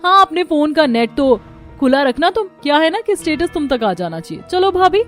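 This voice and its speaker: A woman's voice: high voice, big pitch swings, normal speed, faint music behind. A woman voicing a rich, bold and crafty young sister-in-law in a cartoon, with an assertive, brash manner. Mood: defiant.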